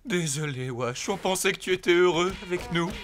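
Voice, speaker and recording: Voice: medium pitch, fairly quick, lightly acted. An actor playing a fretful, apologetic male pet owner in a children's cartoon. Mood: sorry.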